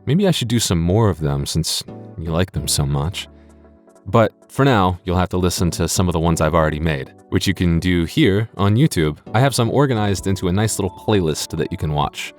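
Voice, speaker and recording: Male audio creator, deep voice, awkward self-deprecating casual outro delivery, light music underneath. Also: animated, fairly quick. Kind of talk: casual skit-like outro chat to listeners.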